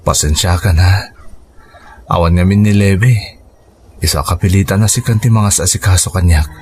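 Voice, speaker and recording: A man's voice: deep voice, normal speed, slight background noise, lightly acted. An actor playing a reluctant, dependent man left at home in an Ilocano radio drama, speaking hesitantly and plaintively. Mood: weak, apologetic.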